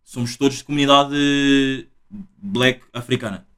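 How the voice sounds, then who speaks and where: A man's voice: mid-range voice, a bit bright, slight background noise. A young man with a European Portuguese accent hosting a casual podcast chat, full of filler words. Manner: rapid, uses filler words a lot. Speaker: podcast host.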